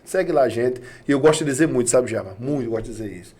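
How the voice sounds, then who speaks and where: A man's voice: medium pitch, expressive, fast talker, warm tone, husky. A man with a Brazilian accent speaking as a guest in a casual conversation, agreeable and repeating himself for emphasis. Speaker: interview guest.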